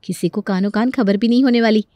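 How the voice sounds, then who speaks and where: A woman playing a hopeful, scheming young daughter-in-law in a Hindi animated story, smooth-talking and reassuring. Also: mid-range voice, fast talker, no room sound, natural voice. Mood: smug, confident. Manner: coaxing, reassuring.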